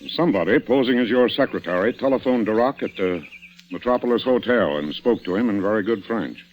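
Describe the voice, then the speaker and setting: An actor playing a shrewd, brisk, sardonic police inspector in an old-time radio suspense drama. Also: male voice, fairly low pitch, close to an everyday voice, low-quality recording. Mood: probing. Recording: vintage radio broadcast recording.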